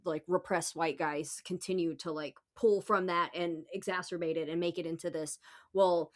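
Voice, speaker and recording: A woman's voice: lowish voice, steady tone, normal speed. A woman on a podcast panel discussing politics in an informal, opinionated way.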